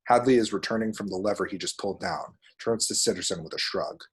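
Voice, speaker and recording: A man's voice: low voice, fast, slightly rough. A man reading screenplay action descriptions aloud as dramatic, vivid narration at a table read.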